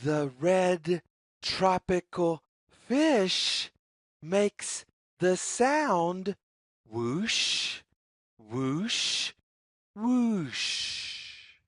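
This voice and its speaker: A woman reading a picture book aloud for young children, with deliberate, singsong phrasing and questions put to the listener. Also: fairly low pitch, very animated, no echo, studio quality. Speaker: children's read-along storyteller.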